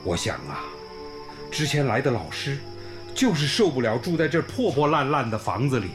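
A man's voice: mid-range voice, sing-song, normal speed, close to an everyday voice. An actor playing a kindly old village school principal in a radio drama, effusive and homely, sighing and exclaiming as he talks. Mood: worried, wistful. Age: older, around sixties. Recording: music bed under the voice.

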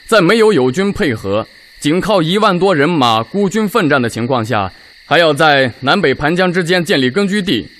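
An actor playing an army officer in a radio drama, arguing strategy in an emphatic, formal way. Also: male voice, a little high, fairly bright tone.

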